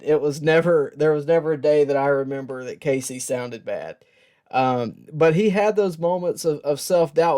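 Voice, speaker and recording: A man hosting a sports show, giving a personal tribute in a reflective, earnest and halting way with pauses. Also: on the high side, darkish tone. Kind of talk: personal tribute talk to camera.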